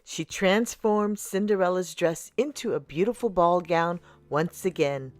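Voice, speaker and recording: A woman reading a storybook aloud for children in an expressive way, with dramatic pauses and emphasis. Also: on the low side, no echo. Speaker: children's storybook reader and channel host.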